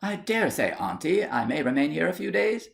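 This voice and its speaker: A man's voice: a little high, sing-song, normal speed, creaky, pitched above the performer's own voice, full character voice. A man voicing a fretful, overwrought wife in a storybook tale, exclamatory and breathless. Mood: hesitant.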